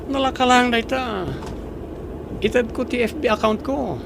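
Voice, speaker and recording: Young male suitor, very high voice, friendly, audio drama dialogue male actor. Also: steady tone, a little slow, creaky, background noise, full character voice.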